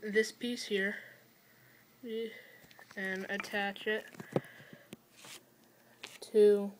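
A young woman talks through a tabletop build very slowly, full of ums and pauses. Her medium-pitched voice often fades at phrase ends. She is recorded close on a built-in camera mic in a small dim room at home, with faint hiss behind. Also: little pitch movement, low-quality recording. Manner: slow, hesitant, many fillers and pauses. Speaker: toy-building tutorial host. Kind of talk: casual how-to narration.

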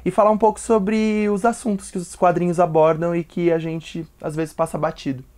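A man's voice: sits high, little pitch movement, moderate pace, darkish tone. A young Brazilian man appearing as a guest in a casual comic book review chat, easygoing and jokey, recorded at home on a lapel mic. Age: young adult. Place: home room with bookshelves.

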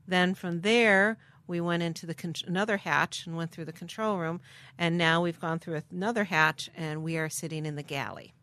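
A middle-aged woman with a bright, clear voice set slightly low, explaining things methodically with a few fillers. The recording is dry and close. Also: no echo. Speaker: museum director, guest. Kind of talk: explanatory interview talk. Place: submarine galley.

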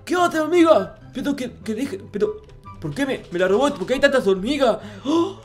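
Man playing a high-pitched, stammering naive little fellow. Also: very animated, moderate pace. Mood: panicky pleading. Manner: stammering, repetitive, pleading. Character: naive, panicky, childlike little fellow, pleading and devoted. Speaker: gameplay roleplay YouTuber. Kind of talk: improvised comic roleplay over video game play. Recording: music behind the voice.

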